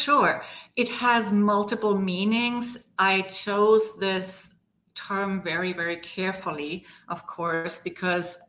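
A woman's voice: medium pitch, pushed and bright. A middle-aged woman from Germany with a German accent, a coach telling her career story as a podcast guest, with fillers and restarts. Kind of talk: podcast interview.

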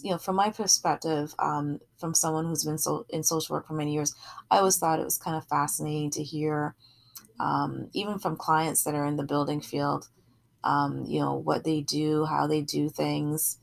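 A woman's voice: sits low, vocal fry. A middle-aged woman from Barbados giving a sermon in a conversational, explanatory teaching way, often checking in with her listeners. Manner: explanatory, often checks in with "right?" and "you know". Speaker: preacher.

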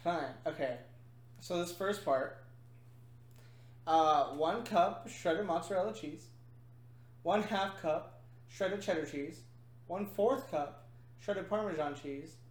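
A woman's voice: fairly low pitch, takes it slowly, pauses often. A woman co-hosting a podcast in casual chat, rambling with lots of fillers. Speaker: podcast co-host. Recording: echoey room with faint noise.

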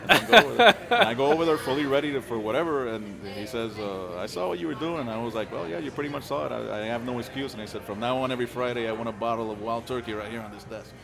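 A man's voice: mid-range voice, racing, vocal fry, few pauses, a crowd in the background. A man from Rico, telling stories casually as an interview guest in a hesitant, jokey way. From Puerto Rico. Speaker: guest, brewer. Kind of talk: casual storytelling in a podcast interview.

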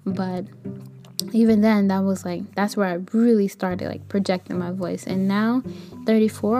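A young woman with a light, soft voice talking casually in a rambling, candid personal podcast monologue. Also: medium pitch. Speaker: podcast host; kindergarten teacher.

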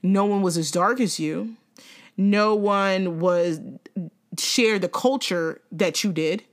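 A young woman co-hosting a podcast in casual chat, animated, laughing often and swearing freely. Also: mid-range voice, slow pace. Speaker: podcast co-host.

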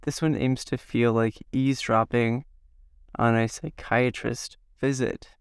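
A man from the Midwest in his thirties, slightly hoarse from a cold, answering interview questions to himself in a slow, halting, reflective way. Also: mid-range voice, steady tone, no echo. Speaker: podcast guest. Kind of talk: self-interview.

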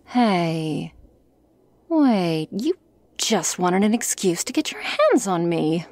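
Playful, teasing girlfriend played by a woman for ASMR roleplay: very slow, breathy whispering with creaky edges. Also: fairly low pitch, very animated, darkish tone, no room sound, full character voice. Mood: coy, teasing.

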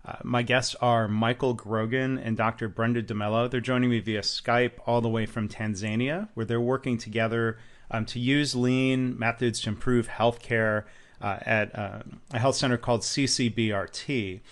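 Male podcast host, mid-range voice, casual and unhurried, lots of fillers. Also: mid-range voice. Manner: relaxed, with frequent ums and uhs. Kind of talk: podcast interview and promo reads.

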